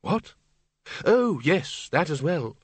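A man's voice: a little high, big pitch swings, takes it slowly, breathy edge, no room sound, voice pushed up, exaggerated voice. A man voicing a frail old undead man, hesitant, querulous but kindly, as an audiobook character. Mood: flustered, earnest.

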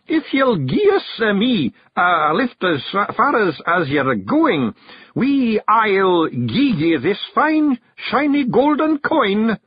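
A man voicing a bumbling, bossy bearded Scottish stranger in a storybook, halting, growly and correcting himself. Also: high voice, very animated, husky, no echo, lo-fi sound, voice pushed up, exaggerated voice. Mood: flustered, blustering. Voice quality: growly, snarling.

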